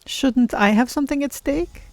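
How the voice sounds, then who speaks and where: A man voicing a proud, courteous cat-person game character with formal, measured wording while streaming. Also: very high-pitched, vocal fry, darkish tone, no echo. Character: proud, courteous Khajiit cat-person adventurer, third-person speech. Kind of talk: in-character game role-play commentary.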